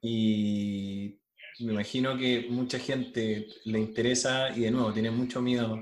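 A man's voice: mid-range voice, fairly even intonation, measured pace. Chilean guy asking long rambling interview questions, sounds kinda echoey. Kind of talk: casual interview.

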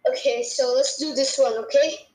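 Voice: sits high, steady tone, normal speed, darkish tone. A young podcast co-host, sounding like a child or young teen, chatting casually and hesitantly in an echoey room. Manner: hesitant, unsure. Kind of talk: casual podcast quiz chat.

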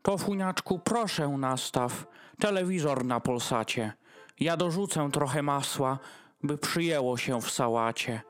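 A man's voice: a little high, expressive, measured pace. A man playing a doting, long-winded dog host in a rhymed radio play, speaking in a ceremonious manner. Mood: apologetic, fussy. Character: Freddy, doting, long-winded male dog hosting Christmas dinner.

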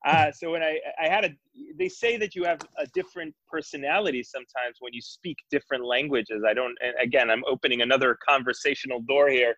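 A man's voice: medium pitch, quick pace, fairly bright tone, highs cut off. A man from Israel chatting casually as co-host, thoughtful and hesitant, with frequent fillers. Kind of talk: casual chat.

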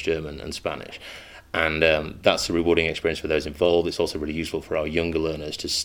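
A man with an extremely low voice speaks as a modern languages teacher. He talks in a measured, earnest way and pauses on the odd um. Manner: earnest, measured, with frequent ums. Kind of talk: interview monologue. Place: school in Manchester.

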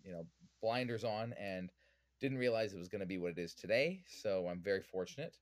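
A man's voice: fairly low pitch, lively intonation, fairly quick, dry recording, studio quality. A man from Vancouver with a Canadian accent, answering interview questions conversationally as a podcast guest. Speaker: podcast guest, whiskey influencer.

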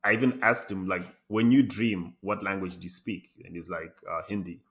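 Guy with a really low voice, thinking out loud on a podcast, kinda muffled lo-fi sound. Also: fairly even intonation, normal speed. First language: English. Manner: reflective, frequent fillers and restarts. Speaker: design lead guest. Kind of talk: conversational podcast interview.

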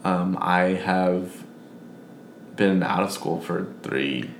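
A man's voice: low-pitched, very slow, creaky. A man in his twenties co-hosting a podcast, chatting casually. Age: 20s. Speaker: podcast co-host. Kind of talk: casual chat. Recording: echoey room, faint background noise.

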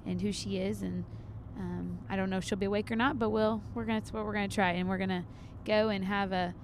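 A woman's voice: mid-range voice, fairly even intonation, quick pace, background noise. A cheerful woman in her thirties co-hosting a podcast, chatting casually with her husband. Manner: cheerful, laughs easily. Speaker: podcast co-host. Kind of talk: casual chat. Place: in a car.

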